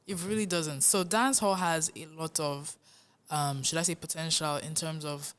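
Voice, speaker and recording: A young Nigerian woman, a musician, talking in a casual interview, animated and earnest, often laughing. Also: low voice, normal speed, smooth. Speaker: musician guest.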